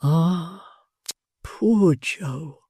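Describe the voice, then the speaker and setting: A reader voicing a gentle, kindly middle-aged locksmith in a storybook reading, speaking earnestly. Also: male voice, on the high side, drawn-out words, breathy edge, no room sound, clean studio sound, pitched above the performer's own voice, full character voice.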